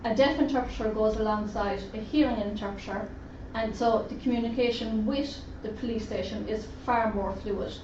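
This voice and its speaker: A woman's voice: fairly high pitch, moderate pace, few pauses. Woman interpreter in an echoey room. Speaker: sign language interpreter voicing a deaf presenter. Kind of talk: first-person interpreted presentation. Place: conference room at a roundtable event. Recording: roomy, distant, dull lo-fi sound with faint noise.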